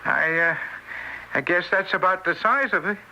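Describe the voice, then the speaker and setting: A man's voice: high-pitched, moderate pace, lo-fi sound, slight background noise, exaggerated voice. An actor in an old-time radio drama playing a timid, apologetic ghost of a small frail man, stammering and pleading. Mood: hesitant, explaining. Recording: bright, thin old radio recording.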